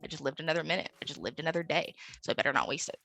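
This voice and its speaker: A woman's voice: low-pitched, animated, racing, vocal fry, pushed and bright, no room sound. A woman speaking as a podcast guest in a casual, personal way, earnest and conversational, often checking for agreement. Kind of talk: casual, personal interview talk.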